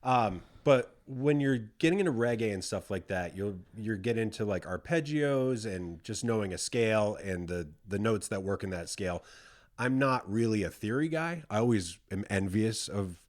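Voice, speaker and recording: A man's voice: fairly low pitch, animated, normal speed. A man talking casually as a musician guest on a podcast, easygoing and self-deprecating. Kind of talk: casual chat.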